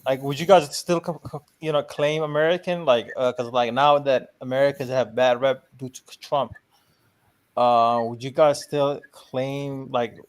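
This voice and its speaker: A man in casual chat, asking questions haltingly and repeating his words. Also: mid-range voice, steady tone, clear, resonant tone.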